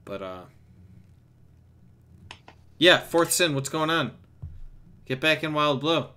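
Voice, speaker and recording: A man's voice: expressive, slow. A man hosting a livestream, chatting casually and swearing freely as he goes. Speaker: streamer hosting a voice-chat livestream. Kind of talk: casual, profane live chat. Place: livestream from a computer setup. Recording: bright home mic with noise, rumble and typing. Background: noise, rumble and keyboard typing.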